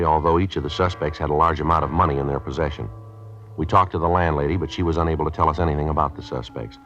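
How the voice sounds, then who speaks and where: A dry, businesslike American police sergeant in a vintage radio crime show. His voice is extremely deep, and he speaks fast in clipped, deadpan phrases. The sound is dull and lo-fi. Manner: clipped, deadpan, matter-of-fact. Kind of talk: old-time radio police drama, narration and dialogue.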